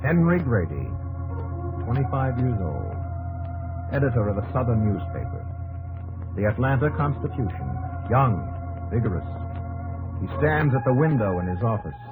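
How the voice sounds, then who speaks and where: A man narrating a 1950s radio history drama in a solemn, declamatory American voice, in measured phrases. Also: mid-range voice, sing-song, natural voice, rough recording. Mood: earnest. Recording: old radio broadcast with music behind.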